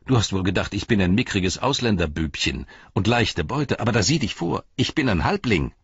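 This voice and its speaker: A man's voice: fairly low pitch, big pitch swings, normal speed, husky, no room sound, lo-fi sound, voice pushed up, full character voice. Man doing a cheeky teasing halfling. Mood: mock-threatening. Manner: playful, teasing bravado. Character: cheeky small male halfling, good-humored.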